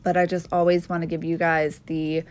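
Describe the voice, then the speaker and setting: A woman's voice: fairly low pitch, level tone, moderate pace, darkish tone, smooth. A young woman from Maryland chatting earnestly to camera as a nail channel host, a bit sniffly from allergies. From Maryland, USA. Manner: chatty, earnest, with frequent fillers. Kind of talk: casual chat to camera.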